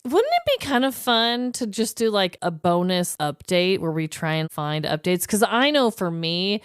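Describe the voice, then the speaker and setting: A woman co-hosting a podcast in casual chat, expressive and informal as she reacts. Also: mid-range voice, moderate pace, clear, resonant tone, no echo, clean studio sound. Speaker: podcast co-host.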